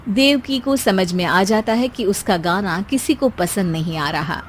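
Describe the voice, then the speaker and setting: A woman's voice: mid-range voice, expressive, a little slow, a little hiss or hum. A woman narrator reading a scripted comic family story.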